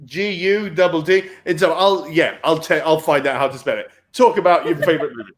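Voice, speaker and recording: A man from Germany co-hosting a casual comedy chat, throwing in quick wisecracks. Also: fairly high pitch. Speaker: show co-host.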